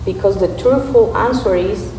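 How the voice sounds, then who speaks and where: A woman's voice: medium pitch, moderate pace, over loud music. A woman earnestly reciting a script as a church readers theater performer. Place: large reverberant church space. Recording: echoey hall, distant mic, background noise.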